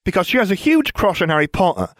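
A man voicing a brisk teenage girl in a storybook reading, rattling off gossip in a matter-of-fact way. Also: high voice, big pitch swings, fairly bright tone, no echo, studio quality, pitched above the performer's own voice.

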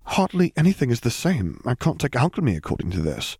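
A man's voice: medium pitch, wildly swooping pitch, a little fast, creaky, a little mellow, husky, no echo, studio quality, pitched above the performer's own voice, full character voice. A man voicing an earnest, ambitious and clever schoolgirl witch with a questioning manner.

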